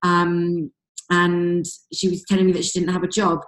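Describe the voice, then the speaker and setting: A woman with a husky, fading voice, coaching her sales team on a call in a chatty way full of fillers. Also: lowish voice, monotone, normal speed. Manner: chatty, many fillers like "um" and "you know". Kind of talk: casual motivational coaching talk. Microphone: video call. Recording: echoey room over a video call.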